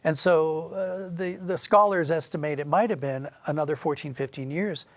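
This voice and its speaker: A man's voice: on the high side, moderate pace, dry recording. A man speaking as an interview guest, explaining history in a discursive, thoughtful, conversational way. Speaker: interview guest, audio drama writer.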